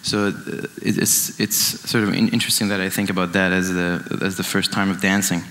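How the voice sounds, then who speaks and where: A man in his late twenties from Iran giving an informal, reflective artist talk, halting and circling back over his phrases. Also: deep voice, level tone, a bit bright. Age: late 20s. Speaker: dance artist, panelist. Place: indoor event stage, amplified.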